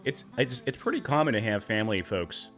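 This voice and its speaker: A man hosting a casual livestream, slightly hoarse, rambling and warmly thanking viewers for tips. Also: animated, brisk, faint music behind. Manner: rambling, thanks tippers, breaks into silly high voices. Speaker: livestream host.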